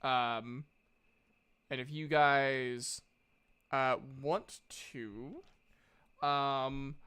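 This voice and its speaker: A game master improvising narration for a tabletop game in an informal, halting manner. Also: mid-range voice, fairly even intonation, a bit bright, clean tone.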